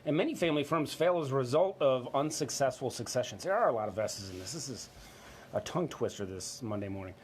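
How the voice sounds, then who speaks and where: A man's voice: mid-range voice, expressive, quick pace, a little hiss or hum. A man co-hosting a business discussion, moving between scripted points and informal, conversational remarks. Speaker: co-host of a business talk show.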